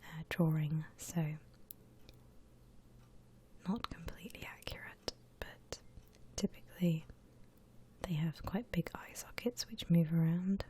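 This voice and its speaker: A woman gently whispers an ASMR drawing tutorial in a breathy voice, explaining each step without hurry. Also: lowish voice, slow, pauses often.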